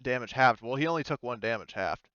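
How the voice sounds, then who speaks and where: Guy game mastering a tabletop rpg, clear bright voice, thinks out loud and hesitates, close dry muffled-ish audio. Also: mid-range voice, expressive, fast, no echo. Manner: hesitant, thinking aloud while checking rules. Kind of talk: casual tabletop roleplaying game narration.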